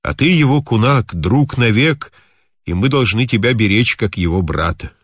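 A man voicing a kindly, talkative old mountain healer in a story, with a calm, instructive, measured manner. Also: medium pitch, husky, no room sound, clean studio sound. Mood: calm, reassuring.

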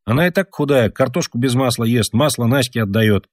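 A man voicing a bitter, wounded soldier father who reproaches his family and shouts at them. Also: mid-range voice, animated, a little fast, no echo, clean studio sound, pitched above the performer's own voice, lightly acted. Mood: angry.

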